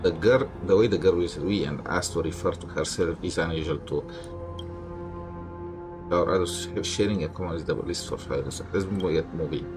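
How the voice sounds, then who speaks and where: Low voiced guy streaming a game, casual irreverent comments, loud music behind him. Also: normal speed. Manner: irreverent, heckling the characters. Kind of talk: casual gameplay commentary, reading dialogue aloud.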